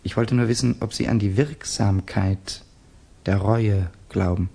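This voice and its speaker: An actor in a German radio drama playing a tormented, evasive man who questions haltingly and insistently, with a husky, creaky voice. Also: on the low side, steady tone, mellow. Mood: tense, probing. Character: tormented, guilt-ridden Russian man, evasive and probing.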